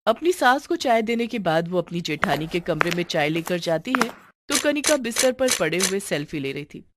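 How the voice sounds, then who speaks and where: A woman's voice: on the low side, sing-song, moderate pace, heavy background noise. A woman reading a scripted story as its storyteller.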